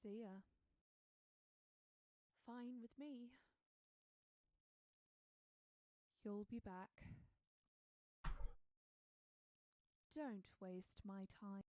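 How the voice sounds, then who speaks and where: Woman acting a brash, slow-talking female game adventurer. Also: mid-range voice, mellow, dry recording, natural voice. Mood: dismissive. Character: brash, sharp-tongued female tavern-going game follower. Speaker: voice actor for a game mod character. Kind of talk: scripted game character voice lines.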